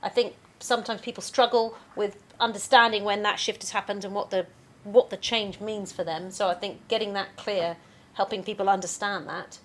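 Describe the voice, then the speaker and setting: A woman's voice: medium pitch, steady tone, normal speed. A woman panelist speaking in a practical, matter-of-fact way in a panel discussion.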